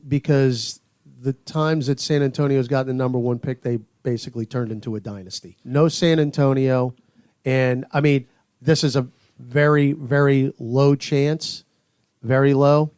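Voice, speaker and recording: Male radio announcer, mid-pitched, dry booth sound, deliberate delivery. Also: fairly even intonation, a little mellow, clean tone, no room sound. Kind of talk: casual sports podcast chat.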